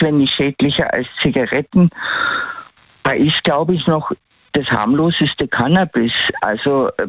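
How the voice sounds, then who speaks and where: A middle-aged Tyrolean man talking in a higher register, wandering from point to point with lots of "äh" and false starts. His voice comes through dull and lo-fi over a radio line, dry with no room around it. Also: no room sound. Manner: rambling, digressive, many fillers and restarts. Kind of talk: casual radio call-in chat.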